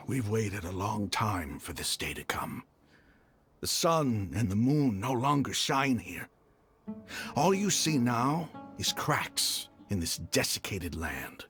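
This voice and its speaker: A man playing an arrogant, zealous desert mercenary leader in a video game, with a gravelly voice and a grandiose, scornful manner. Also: fairly low pitch, big pitch swings, slow, fairly bright tone, faint music behind, exaggerated voice. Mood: brooding zeal.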